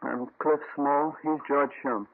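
A cocky young American crook in an old radio crime drama. His voice is fairly high, curt and sullen. The recording is tinny, with a faint layer of noise. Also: fairly even intonation, takes it slowly. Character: cocky, defiant male teenage hoodlum under arrest.

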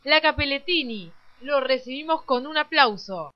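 A woman voicing a brisk, nosy cable talk show hostess in a parody radio play. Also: high-pitched, lively intonation, heavy background noise. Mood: welcoming. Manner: presenterly, prying. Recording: dull lo-fi audio.

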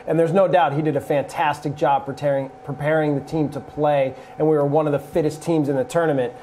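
A man's voice: fairly high pitch, steady tone, mellow, a little hiss or hum. A man in his forties, a former coach, analyzing a soccer match at a studio desk with a lapel mic. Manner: measured, draws on coaching experience.

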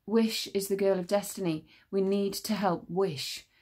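A woman's voice: mid-range voice, fairly even intonation, unhurried, a little mellow, close to an everyday voice. Woman doing a defiant young boy. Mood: urgent. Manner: defiant, urgent. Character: young boy Tsar, proud and desperate.

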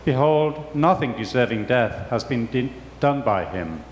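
A man's voice: medium pitch, expressive, measured pace. Older man reading, very echoey church. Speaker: reader in a church Passion reading. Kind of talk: dramatic scripture reading. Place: large church, before a congregation. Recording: very echoey church, faint noise.

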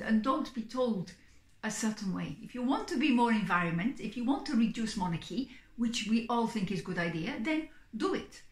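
A woman's voice: fairly high pitch, fairly bright tone. A middle-aged woman chatting expressively and theatrically to camera as a psychic entertainer hosting her own vlog. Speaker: singing psychic performer and host. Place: small indoor room, seated on a sofa. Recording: echoey room.

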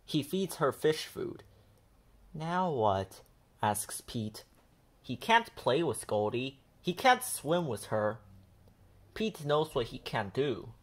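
Low-voiced woman reading a storybook, lively pitch. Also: husky. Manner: expressive, deliberate delivery for young children. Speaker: picture book reader for children. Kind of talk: storybook read-aloud.

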